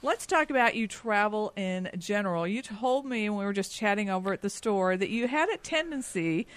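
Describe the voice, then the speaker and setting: A woman's voice: medium pitch, expressive, moderate pace, no room sound. A woman hosting a talk radio show, friendly and affirming, steering the conversation with questions. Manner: friendly, affirming, prompting the guest.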